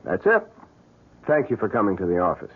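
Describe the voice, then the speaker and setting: A man's voice: on the low side, wildly swooping pitch, fairly quick, breathy edge, full character voice. A man playing a glib, greedy agency schemer in an old radio drama, slick and persuasive with hesitant hems and haws. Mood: smooth, persuasive. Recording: muffled, hissy old radio broadcast.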